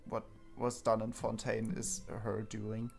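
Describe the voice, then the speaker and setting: A man chatting casually as he streams a game, haltingly thinking aloud. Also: fairly low pitch, completely flat delivery, gravelly. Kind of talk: casual chat, game commentary. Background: game music.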